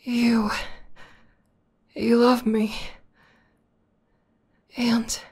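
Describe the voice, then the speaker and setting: A woman playing a tough tomboy demoness who is a devoted lover, speaking softly and haltingly in an intimate, breathy ASMR roleplay. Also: a little high, level tone, heavily acted. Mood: emotional, adoring. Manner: soft, breathy, halting and earnest delivery, often dropping to whispers.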